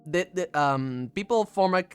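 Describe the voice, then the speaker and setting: Young male streamer from Argentina, animated storyteller, pitch swings wide, faint music behind. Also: normal speed, smooth. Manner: animated, laughs often, raises pitch sharply to act out quotes. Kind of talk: casual storytelling chat.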